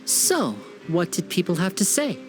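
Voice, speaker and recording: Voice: mid-range voice, very animated, unhurried, soft background music. A voice actor playing a polite, showy young stage magician in a game cutscene dub. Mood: gentle. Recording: game score underneath.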